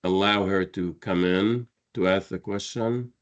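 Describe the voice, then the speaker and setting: Low-voiced man moderating a dull call. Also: fairly even intonation, measured pace.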